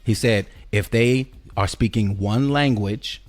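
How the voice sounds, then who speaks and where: A man's voice: on the low side, slow pace, faint music behind. A man hosting a podcast, talking conversationally about spiritual and cultural ideas, emphatic and repeating key phrases for effect. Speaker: podcast host.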